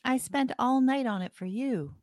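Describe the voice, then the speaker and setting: A woman's voice: high-pitched, expressive, normal speed, darkish tone, no room sound, studio quality. A woman acting a teasing, confident young superhero teammate in a live radio play. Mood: coaxing.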